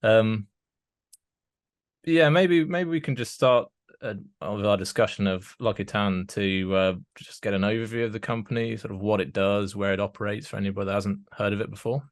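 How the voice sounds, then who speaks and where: A man hosts a casual interview podcast in a fairly low voice. The sound is close and dry, with no room on it. He feels his way into each question, halting and rephrasing as he goes. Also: fairly even intonation, no echo.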